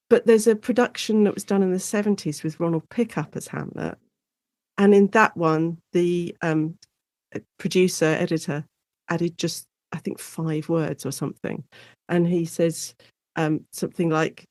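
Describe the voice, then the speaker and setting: A woman speaking as an academic guest in an informal interview, explaining things in an animated way and acting out short quotes. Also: fairly low pitch, unhurried, no echo. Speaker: guest academic, Shakespeare and radio drama specialist.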